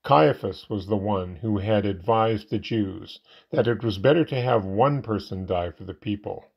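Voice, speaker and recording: A man reading a scripture passage aloud in measured narration. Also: on the low side, gravelly, husky, highs cut off.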